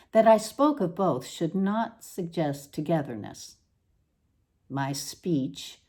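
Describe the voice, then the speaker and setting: A woman giving a deliberate, expressive literary reading of an essay, with pointed emphasis on the words. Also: fairly low pitch. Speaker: reader. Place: at home.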